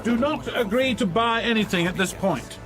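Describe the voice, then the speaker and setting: Actor as a high-pitched fussy old alien man. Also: normal speed, natural voice. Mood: fussy, flustered. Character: fussy, flustered elderly male alien planet designer. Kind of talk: radio comedy drama acting. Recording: music under the voice.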